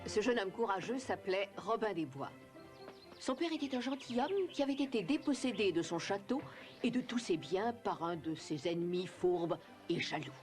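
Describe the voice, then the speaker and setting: A narrator telling a scripted children's story in an animated film. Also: mid-range voice, normal speed. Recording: clear music bed under narration. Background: music.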